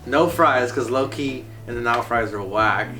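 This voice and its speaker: A man's voice: medium pitch, measured pace. A man in his twenties, a band member, chatting in a laid-back, slangy way. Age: 20s. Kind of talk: casual chat. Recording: distant mic with background music.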